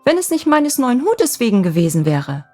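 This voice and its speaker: A storybook young girl in love, played by a woman narrator, with a high, lively voice. She pleads coyly and a little breathlessly, teasing and tender yet easily flustered. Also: moderate pace, faint music behind. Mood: coy, indignant.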